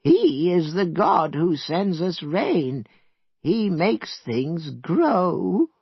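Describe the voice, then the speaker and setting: A man voicing a frightened, tearful old village man who speaks slowly in a pleading, lamenting way. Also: on the high side, sing-song, breathy edge, no room sound, dull audio, pitched above the performer's own voice, exaggerated voice. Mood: solemn.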